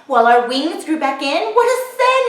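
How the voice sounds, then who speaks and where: A woman's voice: sits high, big pitch swings, moderate pace. A woman voicing frightened little birds and bees in a storybook, pleading and exclaiming in rhyme. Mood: alarmed, pleading. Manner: exclamatory rhyming pleas. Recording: very echoey room.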